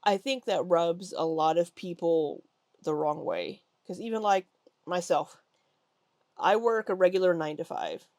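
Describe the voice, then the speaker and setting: A woman's voice: lowish voice, a little slow, a little mellow, no room sound, clean studio sound. A woman with a stuffy nose giving chatty, critical reaction commentary over video clips, with lots of ums. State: congested. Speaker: commentary YouTuber.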